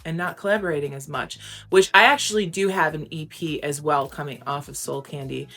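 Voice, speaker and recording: Low-voiced young woman, chatty and candid. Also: fairly quick, a bit bright, faint music behind. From United States. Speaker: interview guest, recording artist. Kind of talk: casual interview answers.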